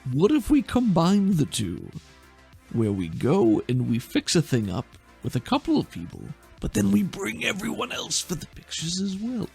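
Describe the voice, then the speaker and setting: A man voicing a dim, vain, easily bored teammate in a tabletop game, with a slow, self-assured manner. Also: medium pitch, huge pitch swings, vocal fry, a little mellow, soft background music, voice pushed up.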